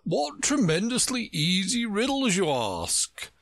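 Man putting on a pompous slow-drawling egg creature. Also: mid-range voice, wildly swooping pitch, a bit bright, no room sound, clean studio sound, voice pushed up, full character voice. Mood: grand, dismissive. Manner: haughty, drawn-out, growling. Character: pompous, testy egg-man perched on a wall. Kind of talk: storybook character reading.

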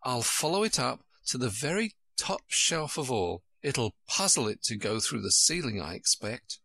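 Well-mannered girl of seven, read by a man: bright, gentle, earnestly puzzled, for a storybook. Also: mid-range voice, big pitch swings, normal speed, gravelly, breathy edge, dry recording, full character voice. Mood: plaintive, puzzled. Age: child.

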